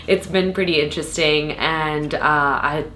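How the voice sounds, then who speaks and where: A young woman from Dubai joins as a guest, a neuroscience student in casual conversation. Her voice sits low but sounds bright and sharp, and she speaks hesitantly with frequent ums. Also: steady tone, measured pace, rough recording. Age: young adult. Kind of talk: casual chat.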